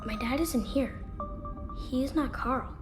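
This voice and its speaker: Mysterious high-voiced little boy. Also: a little slow, music in the background. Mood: eerie, flat. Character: mysterious small lost boy, quiet and eerie. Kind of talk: audio drama acting.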